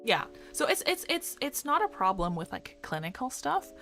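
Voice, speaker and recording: Chatty woman streamer, lively pitch. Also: moderate pace, creaky, soft background music. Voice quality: fairly deep voice for a woman. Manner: rambling, filler-heavy, self-correcting. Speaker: streamer and doctor. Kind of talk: casual chat with live chat readers. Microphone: desk microphone.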